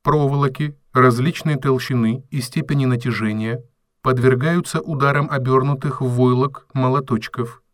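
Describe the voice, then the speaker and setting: An audio drama robot servant, acted by a man, with a medium-pitched voice. He is courteous, emotionless and exact, phrasing everything formally and literally. The recording is close and dry. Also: moderate pace, dry recording, natural voice. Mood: polite, impassive. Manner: formal, precise, literal, deadpan. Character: polite, emotionless male household robot.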